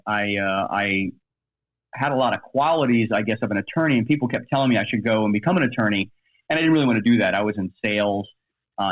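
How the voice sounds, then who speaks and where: A middle-aged American man talks quickly in a lowish voice, answering interview questions with plenty of asides. The recording is dry and somewhat dull. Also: dry recording. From Maryland, United States. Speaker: podcast guest, attorney.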